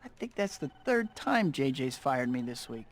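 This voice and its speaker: A man's voice: mid-range voice, very animated, normal speed. A young man playing an earnest, wisecracking student hero in a video game, casual and quippy. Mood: friendly. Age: young adult.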